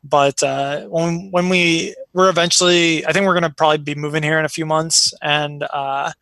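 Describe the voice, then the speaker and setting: A man's voice: fairly high pitch, fairly even intonation, normal speed, vocal fry, bright, forceful tone, no echo. An American man talking casually and telling personal stories in an informal, rambling way peppered with "like". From born in Iraq, raised in Chicago, United States. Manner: rambling, informal, frequent filler "like". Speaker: interview guest.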